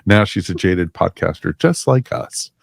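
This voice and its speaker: Chatty low-voiced male podcast host. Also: expressive, a little slow, gravelly, husky, no room sound, studio quality. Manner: chatty, joking, lots of "you know". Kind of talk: casual podcast chat.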